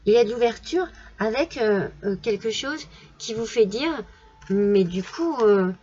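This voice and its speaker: A woman with a cold giving a tarot reading in a gentle, soothing way, with many fillers and restarts, in a French accent. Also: on the high side, expressive, unhurried, muffled recording. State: has a cold. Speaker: tarot card reader. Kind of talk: casual tarot reading monologue.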